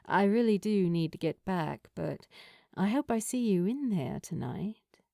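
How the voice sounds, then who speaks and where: A woman's voice: medium pitch, lively intonation, measured pace, vocal fry, mellow, dry recording, clean studio sound. A woman voicing a laid-back, confident, teasing woman bartender who flirts, in an audiobook story. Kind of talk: audiobook character dialogue.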